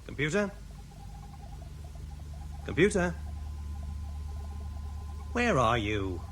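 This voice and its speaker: An actor playing a brisk, businesslike ship's officer in a radio drama, curt and impatient. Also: male voice, a little high, big pitch swings, noisy background, heavily acted. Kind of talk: British radio science fiction drama.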